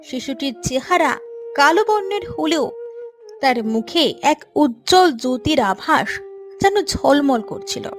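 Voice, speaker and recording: A woman's voice: high-pitched, animated, measured pace. A woman narrating a scripted story as the storyteller. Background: soft music.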